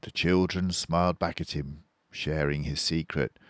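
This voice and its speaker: A man's voice: a bass voice, steady tone, unhurried, dry recording, clean studio sound. An older man narrating a children's storybook as its host, reading from a script.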